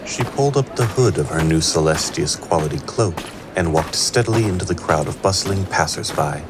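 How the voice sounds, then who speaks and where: A man's voice: sits low, expressive, normal speed. A man performing scripted narration of a fantasy tale as an all-knowing storyteller. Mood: measured. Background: prominent music.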